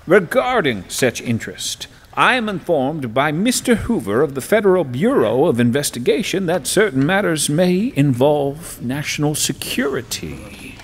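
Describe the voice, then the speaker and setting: A man acting as a stern Chief Justice in a courtroom audio drama, formal, measured and authoritative. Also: fairly high pitch, sing-song. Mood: grave, deliberate. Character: stern, stately male Chief Justice presiding over court.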